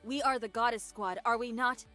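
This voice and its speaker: An actress playing a steadfast, fierce young female soldier in a video game drama, with a firm, deliberate delivery. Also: a little high, steady tone, bright, forceful tone, faint music behind. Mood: demanding, impassioned.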